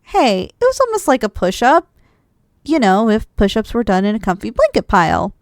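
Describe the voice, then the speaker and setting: A woman acts out a drowsy, doting wife in an ASMR roleplay. Her voice is fairly high and swings playfully up and down as she gently teases her partner. The sound is intimate and very dry, with soft sighs and an affectionate manner. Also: huge pitch swings, normal speed, dry recording. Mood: sleepy, playful. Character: affectionate, drowsy, playful wife cuddling after a big dinner. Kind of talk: ASMR roleplay, intimate one-sided dialogue.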